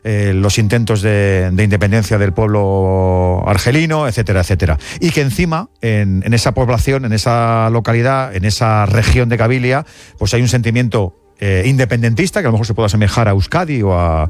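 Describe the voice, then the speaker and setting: A man's voice: on the low side, fairly quick. A man co-hosting a radio sports show, explaining background while thinking aloud.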